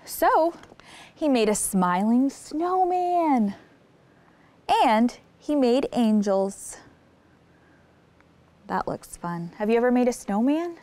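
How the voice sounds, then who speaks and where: High-pitched woman reading a children's storybook. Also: slow pace, pauses often. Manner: expressive, engages child listeners with simple questions and exclamations. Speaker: children's story time host. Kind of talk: read-aloud picture book storytelling with questions to young listeners.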